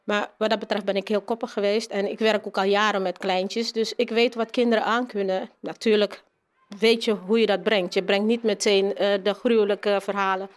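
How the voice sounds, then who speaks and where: Lady teacher being interviewed, normal pitch, unscripted, repeats words a bit while thinking. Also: little pitch movement, moderate pace. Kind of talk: spontaneous interview answers.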